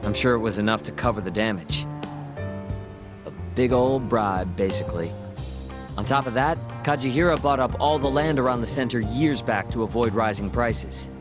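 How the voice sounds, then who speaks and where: A man's voice: mid-range voice, moderate pace, natural voice. An American man playing a savvy, breezy informant in a video game cutscene, talking in a casual, wry storytelling way. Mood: knowing, wry. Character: savvy, breezy male informant laying out a scandal. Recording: music behind the voice.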